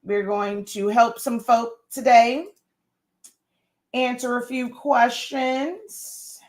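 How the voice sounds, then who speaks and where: A hoarse woman hosts a casual livestream, talking loosely to viewers as they join. Her voice is worn and scratchy, her speech full of fillers and little laughs. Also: a little high, fairly even intonation, very slow. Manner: warm greetings, frequent laughs and fillers. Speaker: host.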